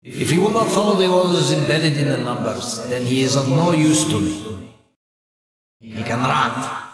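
An actor playing a cold, menacing Soviet military villain in a video game, with a Russian accent and a deliberate, commanding delivery. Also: male voice, medium pitch, expressive, crowd noise, close to an everyday voice, low-quality recording. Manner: slow, deliberate, commanding, threatening.

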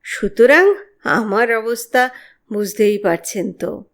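A woman's voice: a little high, expressive, takes it slowly. A woman voicing a practical, self-assured male artist with a composed, reasoning manner in an audio story. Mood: businesslike.

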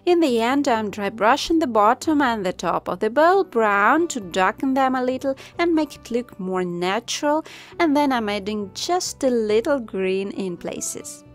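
A woman calmly narrating a step-by-step craft tutorial as the channel host, in voice-over. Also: fairly high pitch. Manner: calm, instructive. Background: soft background music.

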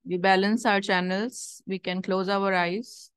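Slow, even-toned woman leading meditation. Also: medium pitch, clean tone, dry recording, clean studio sound. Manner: measured, short phrases with long pauses. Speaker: guided meditation leader. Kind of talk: guided meditation, gentle instruction and prayer.

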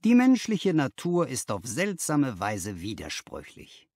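A man voicing an excited, commanding detective in an audiobook, with emphatic exclamations. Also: medium pitch, unhurried, no echo, clean studio sound. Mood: assured, commanding. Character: excited, commanding male detective, sharp and assured. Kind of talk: audiobook character dialogue.

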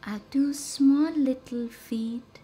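A woman leads a guided meditation in short, soothing, measured phrases with reverent pauses. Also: high-pitched, fairly even intonation, dark tone. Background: music.

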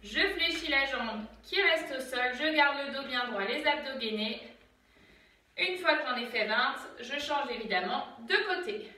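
A young woman leading a home workout, calmly counting reps and cueing breaths as she exercises in an attic room, recorded on a phone set far back. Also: sits high, unhurried, very echoey. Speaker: fitness instructor. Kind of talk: guided home workout instruction. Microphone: phone camera from a distance.

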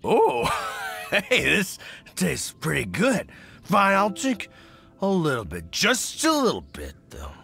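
A man's voice: sits high, wildly swooping pitch, slow, vocal fry, music in the background, full character voice. An actor playing a tipsy, sheepish, doting middle-aged hunter father in a video game, rambling and hesitant. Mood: drunk, reluctant.

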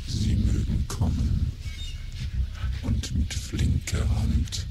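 An actor playing a solemn bard who recites theatrical verse about a digital age. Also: male voice, on the low side, monotone, extremely slow.

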